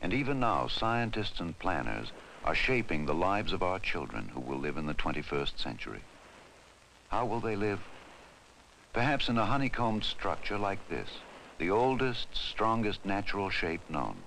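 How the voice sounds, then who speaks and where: A man giving formal, measured scripted narration in the style of a 1960s educational film. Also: low voice, big pitch swings, vocal fry, a bit bright, a little hiss or hum, poor audio. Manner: measured, formal, deliberate delivery. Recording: 1960s film soundtrack with music behind. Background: music.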